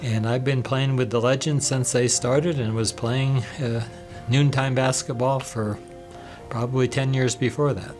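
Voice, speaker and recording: A man's voice: medium pitch, steady tone, a little slow, a little mellow, faint music behind. Matter-of-fact man in his seventies. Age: 70s. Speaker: interviewee, continuing-ed teacher.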